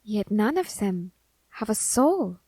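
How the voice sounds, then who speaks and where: A woman playing a scholarly, quietly menacing Grim Reaper in an ASMR roleplay, speaking in formal, deliberate phrases with pauses. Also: medium pitch, very animated, husky, dry recording, full character voice. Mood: grave, confiding. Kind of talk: ASMR character roleplay audio drama.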